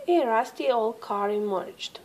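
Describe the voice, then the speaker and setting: A woman reads a children's storybook aloud at a slow pace. Her voice sits rather high and she tells the tale expressively, pausing for drama. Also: a little mellow, low-quality recording. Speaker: storybook reader.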